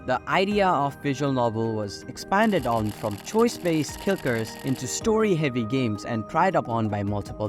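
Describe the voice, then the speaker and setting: A young man narrating a scripted video essay in an informal, opinionated way, sometimes swearing. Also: fairly high pitch. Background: prominent background music.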